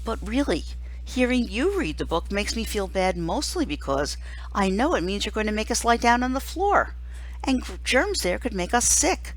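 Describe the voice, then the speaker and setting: A woman's voice: a little high, very animated, moderate pace, pitched above the performer's own voice, poor audio. A woman reading a storybook and voicing a blunt, precocious kindergarten girl who talks in an earnest, pedantic way. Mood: earnest warning. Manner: earnest, matter-of-fact, pedantic.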